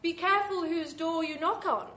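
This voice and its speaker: An actress playing a beguiling, gleefully macabre hostess of a 1940s-style horror radio show, with theatrical, teasing phrasing. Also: female voice, very high voice, moderate pace, sharp tone. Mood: teasing, ominous. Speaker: host. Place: small theatre before an audience. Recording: echoey live stage.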